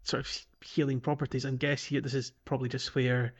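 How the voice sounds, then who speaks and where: Halting man chatting, slightly muffled audio. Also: mid-range voice, level tone. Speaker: podcast co-host. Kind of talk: casual video game discussion.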